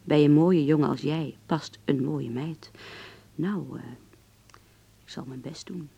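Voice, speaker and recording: A woman's voice: sits low, a little slow, gravelly, dark tone, a little hiss or hum. An actress playing an affectionate young Dutch woman in a radio drama, voicing a letter in a teasing, intimate manner. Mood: playful, coaxing.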